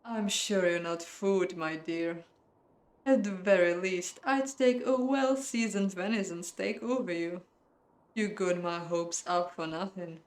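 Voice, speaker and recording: A woman's voice: fairly low pitch, a little slow, natural voice. A woman voicing an arrogant young man, a teasing, dismissive aristocratic fiancé in a visual novel. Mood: mocking.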